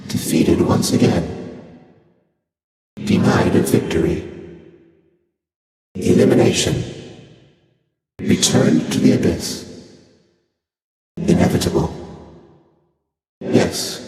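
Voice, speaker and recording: An ancient, aloof god of the void for a video game, speaks in a very deep, hushed voice. The delivery is very slow, solemn and archaic, with detached curiosity. An otherworldly effect and long echoing reverb surround every word. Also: male voice, full character voice. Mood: cold, grave. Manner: slow, solemn, detached, stilted formal phrasing. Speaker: video game character voice actor. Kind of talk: scripted game voice lines, formal and archaic.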